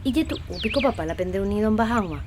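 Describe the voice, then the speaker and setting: A woman's voice: mid-range voice, lively intonation, fairly quick, background noise, lightly acted. A woman playing the questioning grown child of a Paraguayan farmer in a radio soap opera. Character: grown child questioning the father about the growers.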